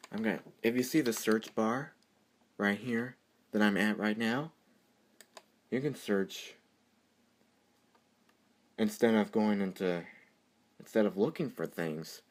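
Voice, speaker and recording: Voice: medium pitch, unhurried, pauses often. A tutorial presenter talking off the cuff over a screen recording, rambling and easily amused. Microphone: computer microphone during screen recording.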